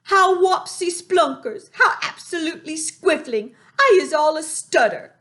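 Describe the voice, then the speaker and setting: A woman reading a storybook aloud, voicing a big, kindly male giant who gets excited and mixes up his words. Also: unhurried. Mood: eager. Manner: excited, high and loud, tumbling made-up words. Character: big gentle friendly male giant, muddled speech.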